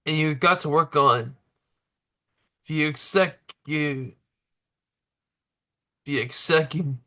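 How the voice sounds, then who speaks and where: Slow, bright-voiced male podcast host. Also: fairly high pitch. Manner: halting, stumbling questions. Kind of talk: interview questions.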